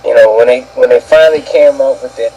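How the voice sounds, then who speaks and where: A man's voice: medium pitch, fast talker, mellow, low-quality recording. Colloquial male panelist, some background noise. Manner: colloquial, quotes his own reactions. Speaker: panelist on an opinion show. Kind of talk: casual opinion chat.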